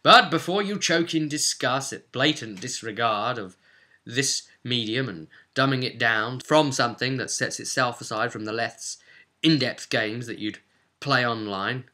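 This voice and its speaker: A man's voice: mid-range voice, unhurried, sharp tone. A man giving an informal, conversational tutorial in a chatty manner, as if explaining game rules to a newcomer. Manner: chatty, checks in with "okay?" and "right?". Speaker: presenter of a tabletop roleplay primer.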